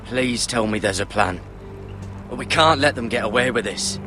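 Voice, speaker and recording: An actor playing an earnest young recruit of a sworn watch in a video game drama. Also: male voice, medium pitch, moderate pace, over music, close to an everyday voice. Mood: surprised, worried.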